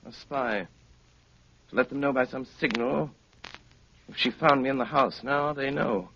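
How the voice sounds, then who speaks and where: An actor playing a brilliant, composed gentleman detective in a 1930s radio drama, urbane, courteous and wryly commanding. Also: medium pitch, a little slow. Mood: brisk, commanding. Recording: muffled, crackly 1930s radio broadcast.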